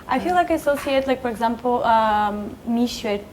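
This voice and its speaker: A woman in her twenties from Bulgaria, a master's student speaking as a panelist, relaxed with frequent ums. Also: a little high, normal speed, a crowd in the background, poor audio. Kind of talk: casual round-table discussion.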